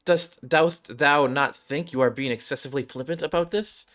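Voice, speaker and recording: A man's voice: a little high, normal speed, a bit bright, pitched above the performer's own voice, lightly acted. A man voicing an indignant woman soldier who speaks in formal, archaic diction in a video game story. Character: angry archaic-speaking woman soldier with a sword, confronting her commander.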